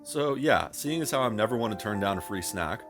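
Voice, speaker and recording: Male game reviewer for video essay: very quick delivery, wry and wordy, self-deprecating, music underneath. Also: on the low side, animated. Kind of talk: scripted video essay narration, conversational.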